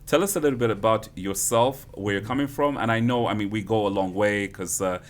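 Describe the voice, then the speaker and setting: A man's voice: fairly low pitch, brisk. A man hosting a studio interview show, eager and affirming, often saying "absolutely.".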